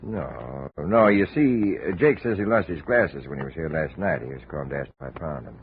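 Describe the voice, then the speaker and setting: A streetwise American bartender in a 1950s radio detective drama, cagey and slangy. The low voice slides widely up and down, and it comes through a muffled, narrow old radio recording. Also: male voice, animated, normal speed, a little hiss or hum. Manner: slangy, sly, evasive. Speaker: supporting radio actor.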